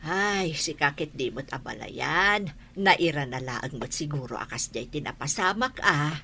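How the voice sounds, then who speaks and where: A woman's voice: low-pitched, animated, a little slow, creaky, pushed and bright, a little hiss or hum, exaggerated voice. A woman playing a kindly older village aunt in an Ilocano radio drama, with an Ilocano accent. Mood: reflective, relieved.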